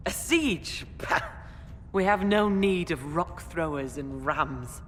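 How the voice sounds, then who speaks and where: A woman playing a proud, blunt mountain tribe chieftain in a fantasy video game, commanding, scornful and measured. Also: low voice, expressive, in a large hall, slight background noise. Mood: proud resolve.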